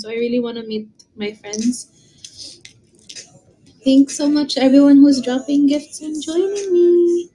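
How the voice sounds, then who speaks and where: A young woman with a Filipino accent chats on a phone live stream, greeting viewers by name. Her voice sits high, and she speaks at an unhurried, easygoing pace. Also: darkish tone, poor audio. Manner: chatty, greets viewers, mixes English with Tagalog. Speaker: livestreamer. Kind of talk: casual live-stream chat. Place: her bedroom, indoors.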